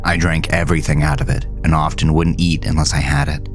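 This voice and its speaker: A man's voice: a bass voice, flat delivery, fairly quick. A man narrating a first-person horror audio drama in a somber, literary storytelling manner. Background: music.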